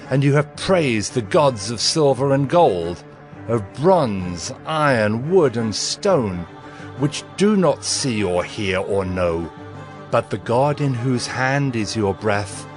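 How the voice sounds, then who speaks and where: A man playing a grave, bold Hebrew prophet in a dramatized scripture reading, speaking in a solemn, declamatory manner. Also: mid-range voice. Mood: stern, accusing.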